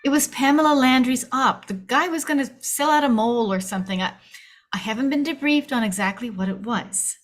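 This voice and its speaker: A woman's voice: high voice, a little fast, close to an everyday voice. A woman playing a frightened young agency staffer, pleading and defensive, in a screenplay table read. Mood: anxious, explaining.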